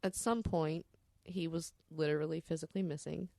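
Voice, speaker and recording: A woman's voice: deep voice, fairly even intonation, moderate pace, vocal fry, darkish tone, dry recording. A woman podcast storyteller telling personal stories in a candid, rambling, salty-tongued way.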